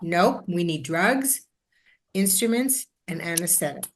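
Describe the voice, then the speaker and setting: A woman's voice: fairly low pitch, animated, a little slow. A woman playing a brusque, weary doctor in a stage drama, speaking in blunt, clipped phrases.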